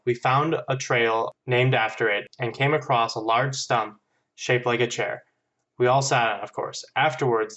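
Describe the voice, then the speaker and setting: A man's voice: mid-range voice, a bit bright. A man guesting on a podcast, reading emails and chatting casually, self-deprecating, often restarting his phrases, on a slightly dull microphone. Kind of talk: casual chat and reading listener emails aloud.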